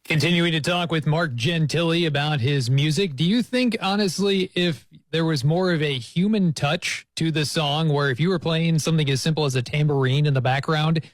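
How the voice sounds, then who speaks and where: A man's voice: fairly high pitch, normal speed, hardly any pauses, no echo, soft background music. A man hosting a radio interview in a conversational style.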